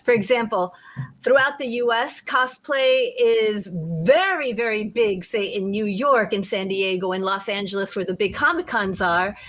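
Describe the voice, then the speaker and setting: A woman from the United States co-hosting a podcast, asking questions in a clear, organized way. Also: medium pitch, normal speed, a bit bright. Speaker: podcast co-host. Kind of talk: casual group chat. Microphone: video call.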